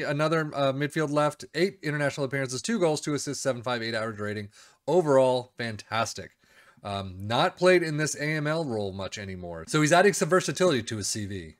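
A middle-aged man casually commenting on a football management game save, reading out numbers with chatty asides, recorded at a desk in a small room. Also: a little high. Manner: chatty, reads stats aloud with asides. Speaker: video game YouTuber.

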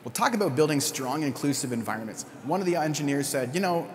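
A man giving a conversational conference keynote, with fillers and quick self-corrections. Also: a little high, expressive, darkish tone, long reverb tail. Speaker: keynote speaker.

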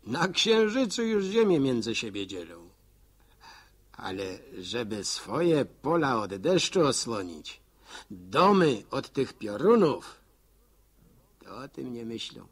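An actor playing a folksy village postman who narrates in a slow, musing, homespun way in an old radio drama. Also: mid-range voice, very animated, husky, full character voice. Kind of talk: rural storyteller monologue in a radio drama.

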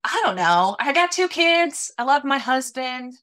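Woman, bright voice, chatty, animated, casual fillers, video call, home office. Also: sits high, a little slow. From Minnesota. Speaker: podcast guest, sales executive. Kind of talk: casual interview chat. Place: home office, small room.

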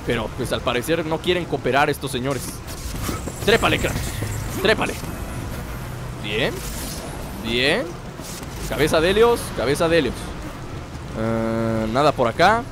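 Stuffy-voiced Mexican man, lively gameplay banter. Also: medium pitch, a little slow, long pauses, noisy background. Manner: playful, jokey, bursts into excited shouts. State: stuffy from a cold. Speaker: gameplay commentator. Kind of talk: casual let's-play commentary. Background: game music.

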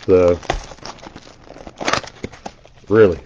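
A man's voice: low-pitched, fairly even intonation, warm tone, muffled recording, slight background noise. Stuffed-up man rattling off names. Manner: rattles off player names rapidly, chatty asides. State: congested. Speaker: trading card breaker. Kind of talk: casual live-stream commentary while opening packs. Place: indoor room at a table.

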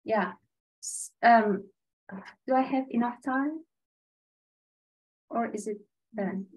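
A woman's voice: on the high side, slow, poor audio. Woman presenting over video call. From Tajikistan. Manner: earnest, conversational, frequent fillers. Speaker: panelist sharing exchange teaching experience. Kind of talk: online panel presentation with slides.

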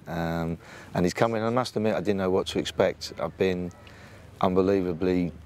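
An English man in his sixties with a booming voice, speaking plainly with many ums and restarts as an assistant football coach in a sit-down interview outdoors by a training pitch. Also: fairly even intonation, normal speed, a little mellow, slight background noise. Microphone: off-camera mic, no lapel.

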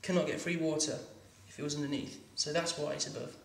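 A man's voice: on the high side, steady tone, quick pace, gravelly, distant mic. Man teaching plumbing, echoey room. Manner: practical, instructive, stumbling restarts. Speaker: plumbing instructor. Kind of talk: hands-on demonstration lesson. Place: training workshop room. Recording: echoey room, voice a bit off-mic.

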